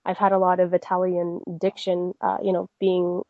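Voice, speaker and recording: A woman's voice: fairly low pitch, completely flat delivery, normal speed, no echo, phone-quality sound. A young woman from Canada, a singer answering interview questions in a friendly, chatty way with frequent fillers. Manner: friendly, many fillers and restarts. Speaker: guest singer.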